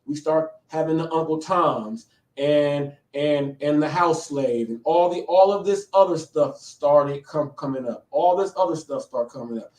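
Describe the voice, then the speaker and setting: A man's voice: a little high, darkish tone. A man in his late thirties hosting a live show, talking in a rambling way and often checking in with "okay?". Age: 30s. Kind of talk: casual live commentary. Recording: echoey room, a bit far from mic.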